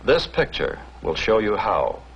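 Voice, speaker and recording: Animated American man, old film introduction. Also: on the low side, measured pace, husky. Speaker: presenter introducing a training film. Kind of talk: formal scripted introduction. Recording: dull, noisy old film soundtrack.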